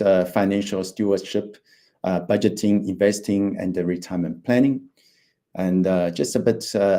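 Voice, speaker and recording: A man's voice: sits low, mellow. A man in his fifties from Taiwan, a financial planner giving an online presentation, hesitant with many fillers and restarts. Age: 50s.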